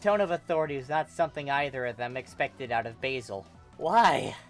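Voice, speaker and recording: An amateur reader narrating a fan fiction story in a dramatic, animated way. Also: mid-range voice, fast talker, soft background music.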